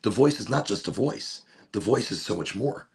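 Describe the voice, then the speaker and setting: A man with a deep, gravelly voice, rambling through a casual monologue as host of a smoke session and wandering from one topic to the next. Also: animated, moderate pace, a little mellow. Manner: rambling, wanders off topic, breaks into off-key singing.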